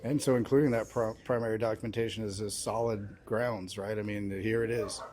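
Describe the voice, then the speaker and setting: A man's voice: fairly low pitch, steady tone, a little fast, a little mellow, slight background noise. A man working as an interviewer, casually asking questions in an upbeat, appreciative manner.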